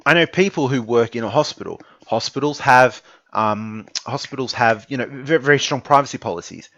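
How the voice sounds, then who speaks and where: A man's voice: medium pitch, fairly quick, pushed and bright, no room sound, highs cut off. An Australian man of twenty-nine giving an informal opinion monologue, earnest and emphatic, repeating phrases for stress. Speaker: esports content creator giving an opinion piece.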